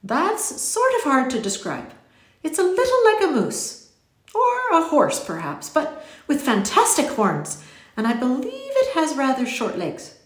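A woman voicing a whimsical, courteous little gentleman in a storybook, with genteel, exclamatory, formal phrasing. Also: sits high, wildly swooping pitch, unhurried, echoey room, voice pushed up, exaggerated voice. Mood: earnest, courteous. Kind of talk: audiobook character voice.

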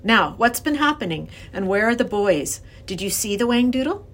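Woman as a bewildered, animated older professor. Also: mid-range voice, normal speed, a little hiss or hum. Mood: puzzled. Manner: old-fashioned, genteel phrasing. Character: kindly, anxious older male professor, bewildered and hesitant. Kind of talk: acted children's storybook reading.

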